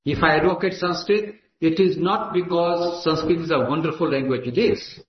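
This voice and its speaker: A man's voice: on the high side, moderate pace. A man with an Indian accent, a native Gujarati speaker, giving an emphatic, declamatory speech at a meeting. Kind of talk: impassioned speech. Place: assembly hall. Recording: echoey lo-fi hall recording.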